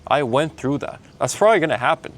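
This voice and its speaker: A man's voice: on the high side, expressive, quick pace. A young man with a Mexican Spanish accent and Spanish as his first language, giving a blunt, motivational talk to his camera while traveling. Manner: blunt, pep-talk delivery, calling the listener man and bro. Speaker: sales coach. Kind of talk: motivational vlog monologue. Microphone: handheld camera filming himself.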